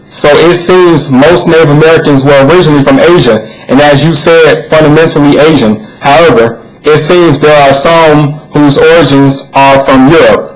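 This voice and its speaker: Man narrator, fairly high voice, earnest read-aloud, occasional stumbles. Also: steady tone, moderate pace, hardly any pauses, poor audio. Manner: plain, earnest reading with occasional stumbles and repeats. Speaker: video narrator. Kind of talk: reading text aloud over images.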